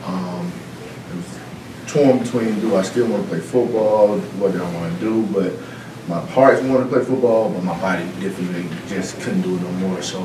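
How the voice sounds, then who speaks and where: A man from Mississippi, a football coach, introducing himself and telling his playing story in an earnest, rambling way. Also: sits low. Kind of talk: self-introduction to a room. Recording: roomy, distant, noisy room recording.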